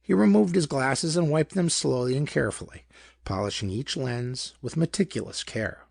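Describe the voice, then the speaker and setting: Expressive male narrator with a gravelly, husky voice. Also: mid-range voice, normal speed, no echo, studio quality. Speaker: audiobook narrator. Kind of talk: scripted narration of pulp detective story.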